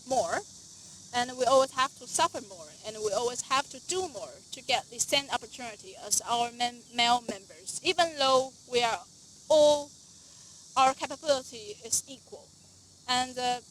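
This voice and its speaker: A young woman speaks earnestly and deliberately, sometimes restarting a phrase. Her voice sits a little high. She sounds like a doctor answering interview questions. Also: fairly bright tone, slight background noise, low-quality recording. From Taiwan. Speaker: resident doctor.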